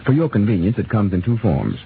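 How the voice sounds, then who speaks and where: A male radio announcer with a lively, swooping delivery reads the sponsor's pitch and sets the scene. He comes through a tinny 1930s broadcast with faint hiss behind. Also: brisk. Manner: smooth, expressive salesmanlike delivery.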